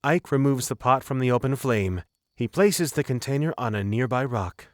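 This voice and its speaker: A male narrator reads a scripted fantasy story. His voice sits at a middle pitch. The recording is very dry, with hardly any room sound. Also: moderate pace, dry recording.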